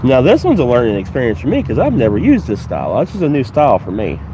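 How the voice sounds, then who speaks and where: A man's voice: mid-range voice, fast talker, noisy background. A man with a stuffy, congested voice from a cold, chatting casually to viewers while fishing outdoors. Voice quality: stuffy, nasal. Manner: relaxed, chatty, talks to viewers while working. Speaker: fishing vlogger. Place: outdoors by the water.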